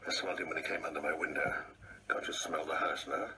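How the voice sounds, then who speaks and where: An actor playing an ageing English gentleman in a radio play, pompous, brusque and uneasy, rambling in clipped phrases. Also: a bass voice, steady tone, fast. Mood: curt. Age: older. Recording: distant mic, faint background noise.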